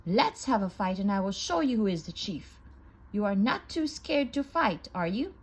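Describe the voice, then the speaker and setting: A woman's voice: mid-range voice, big pitch swings, normal speed, husky, poor audio. A woman voicing a proud, bullying male rooster who speaks fiercely and bossily in a read-aloud storybook. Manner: fierce, bossy.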